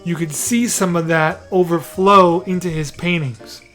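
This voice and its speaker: A man hosting a podcast in a casual, informal and excitable way. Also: fairly high pitch, unhurried, soft background music. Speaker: podcast host.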